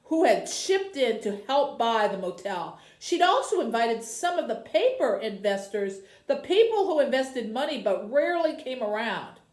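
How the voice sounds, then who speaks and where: A woman with a high voice works as a library host for young viewers. Her pitch moves up and down in an expressive storytelling style. The room adds noticeable echo around her words. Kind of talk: reading a children's novel aloud, then addressing young viewers. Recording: roomy, echoey indoor sound.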